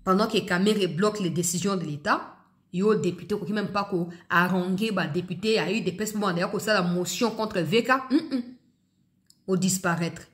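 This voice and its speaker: A woman's voice: mid-range voice, normal speed. Emphatic Congolese woman giving political commentary. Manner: emphatic, exhorting, repeats phrases for stress; switches between two languages mid-sentence. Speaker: host of an online political news commentary show. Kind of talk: opinionated political commentary, speaking directly to viewers.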